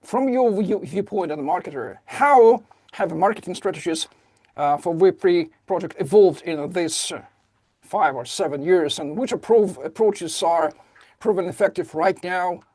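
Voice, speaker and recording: A man who speaks Russian natively hosts a podcast interview, enthusiastic and a little halting in his phrasing. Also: high voice, darkish tone, no room sound. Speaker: podcast host. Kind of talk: casual interview hosting.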